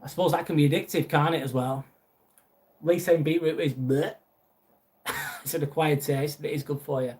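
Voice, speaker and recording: A young man from northwest England with a Lancashire accent, hosting a live video chat. His voice sits a little high for a man. He talks in a loose, friendly way, reading viewers' messages aloud and answering each one. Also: fairly even intonation, moderate pace, long pauses, a little mellow. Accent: Lancashire English. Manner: chatty, reads out viewer messages and answers them. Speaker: live stream host.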